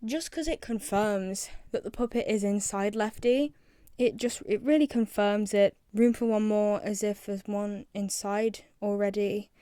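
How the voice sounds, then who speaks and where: A woman's voice: medium pitch, fairly even intonation, a little slow, warm tone, clean tone, dry recording, clean studio sound. A young woman giving casual, rambling commentary as a video creator, with a self-deprecating manner.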